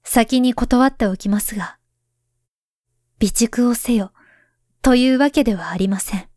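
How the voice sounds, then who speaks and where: A solemn woman reading a warning letter aloud in a voice drama, voiced by a woman. Her voice sits fairly high but stays hushed and soft, often slipping toward a whisper. The sound is close and dry. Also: a little slow, no room sound. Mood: earnest. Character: grown woman writing to her past self, grave and pleading.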